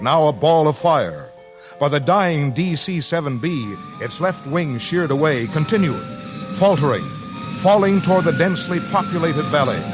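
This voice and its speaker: Solemn American radio narrator over music. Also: male voice, on the high side. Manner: solemn, deliberate, measured phrasing. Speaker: documentary narrator. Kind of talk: scripted dramatic 1950s radio documentary narration. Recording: vintage 1950s radio broadcast, music underneath. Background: dramatic music.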